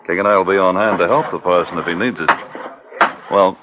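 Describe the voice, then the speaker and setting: An actor playing a steady, friendly frontier police sergeant, in 1940s radio drama style. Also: male voice, sits low, fairly quick, natural voice. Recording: lo-fi old radio broadcast with hiss.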